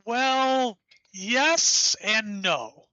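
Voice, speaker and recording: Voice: mid-range voice, extremely slow, pushed and bright, no room sound, lo-fi sound. An actor playing an enthusiastic, rambling writer who created the hero, in an audio drama. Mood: eager, apologetic. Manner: enthusiastic, rambling, self-interrupting.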